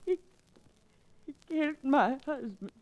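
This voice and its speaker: An actress playing a gentle, devoted elderly wife of a storekeeper in an old-time radio Western. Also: female voice, high-pitched, lively intonation, unhurried, highs cut off. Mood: grieving.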